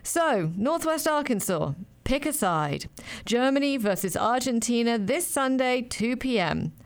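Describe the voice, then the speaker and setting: A woman radio reporter reading scripted news narration in a clear, lively way. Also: fairly high pitch, a little slow.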